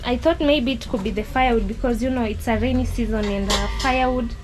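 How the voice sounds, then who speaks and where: A woman's voice: on the high side, quick pace, faint music behind. A Kenyan woman giving casual vlog narration while filming a family shop kitchen outdoors, explaining things with plenty of "you know" fillers. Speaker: vlogger filming a relative's shop.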